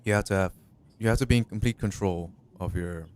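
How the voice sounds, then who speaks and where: A man's voice: fairly low pitch, fairly quick, darkish tone, clear, resonant tone. A man in his thirties, co-hosting a casual late-night chat, thinking aloud in a reflective way with restarts. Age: 30s. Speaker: co-host.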